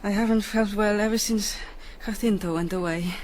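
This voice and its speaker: A woman's voice: medium pitch, steady tone, normal speed, darkish tone, slight background noise, natural voice. An actress playing an anxious, proper married Spanish woman, an older sister, fretful and emotional, in a radio historical drama. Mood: wistful.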